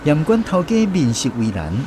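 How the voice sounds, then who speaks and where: A man's voice: on the high side, wildly swooping pitch, fairly quick, mellow, slight background noise. A man with a Taiwanese accent reading scripted story narration in a radio drama.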